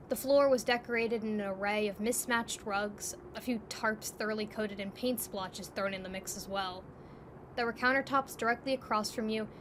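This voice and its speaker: A woman's voice: medium pitch, noisy background. A woman narrating a scripted second-person story as the narrator.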